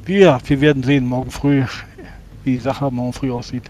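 A man's voice: mid-range voice, flat delivery, fairly quick, dark tone. Deliberating man at a game, noisy background. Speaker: tabletop role-playing player. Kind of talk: in-character game talk.

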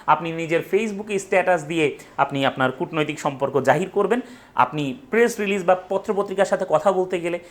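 A young man presents an explainer, emphatically rephrasing his points and posing rhetorical questions. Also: high-pitched. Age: young adult. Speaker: educational presenter. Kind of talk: explainer talk to camera. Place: small indoor room with bookshelf.

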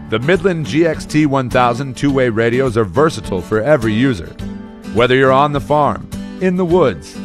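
A male announcer delivers a scripted product promo at a middle pitch. Prominent country-style guitar music plays behind his narration throughout. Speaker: commercial announcer. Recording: loud guitar music bed.

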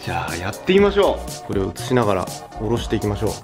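A man's voice: fairly low pitch, sing-song, very fast. A man in his thirties, a car channel host, explaining things casually and hands-on to camera in a garage. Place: garage workshop, indoors. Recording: music behind the voice. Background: background music.

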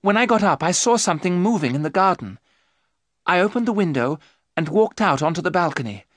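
A man voicing a frightened young wife under a vampire's spell, speaking high and pleading. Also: lively intonation, a little fast, dry recording. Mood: fearful.

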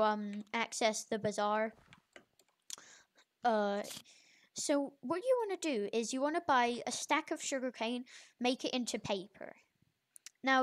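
A young video creator with an informal, halting, self-correcting way of talking, giving a casual game tutorial. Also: medium pitch. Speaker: gaming video creator, host.

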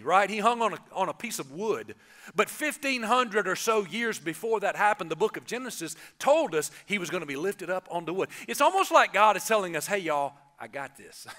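A man, preaching an animated Easter sermon from a church stage and calling on the congregation to answer. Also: sits high. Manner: animated, gestures broadly, calls on the congregation. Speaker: pastor. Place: church stage, large indoor auditorium.

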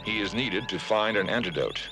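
A man playing a logical, composed alien starship officer in a cartoon, formal and deadpan. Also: fairly low pitch, brisk, music in the background. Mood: composed, stern. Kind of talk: 1970s TV cartoon acting.

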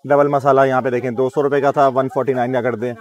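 A man's voice: medium pitch, little pitch movement, fast, highs cut off. A man in his thirties with a Pakistani accent, chatting casually as he hosts a shopping vlog, often repeating his phrases. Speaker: vlog host. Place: busy supermarket and its car park.

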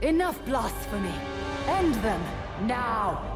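A woman's voice: fairly high pitch, big pitch swings, takes it slowly, loud music behind the voice. A woman playing an arrogant, wrathful sorceress villain in a video game, grandiose and theatrical. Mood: imperious.

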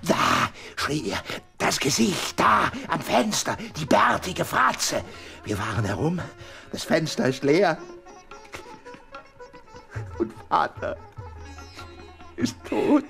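An eccentric gentleman in a radio drama, whiny and excitable. His slow delivery swings widely in pitch as he lingers theatrically on words and repeats himself. Music plays behind him. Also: gravelly, exaggerated voice. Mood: agitated, horrified. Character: eccentric, excitable, whiny gentleman torn between awe and resentment. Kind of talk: German radio drama acting.

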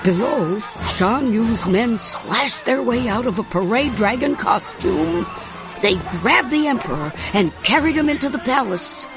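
Lively, slow-reading woman storyteller. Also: a little high. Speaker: storybook narrator. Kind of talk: scripted read-aloud children's story narration. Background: music.

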